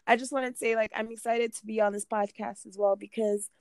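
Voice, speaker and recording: A woman's voice: fairly high pitch, steady tone, brisk, no room sound. A young woman from Sudan, a university student, speaking as a podcast guest in a chatty way, with many fillers and restarts. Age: young adult. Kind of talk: casual interview talk.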